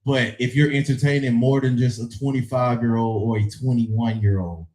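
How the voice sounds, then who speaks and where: A man's voice: medium pitch, fairly even intonation, moderate pace, a little mellow, echoey room. A man, a guest in a heated casual debate, answering with stubborn, clipped retorts.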